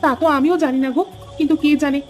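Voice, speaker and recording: A woman playing a tender-hearted, excitable farmer's wife in a cartoon, with expressive exclamations. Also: sits high, rapid-fire, soft background music, close to an everyday voice. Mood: wondering. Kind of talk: cartoon voice acting.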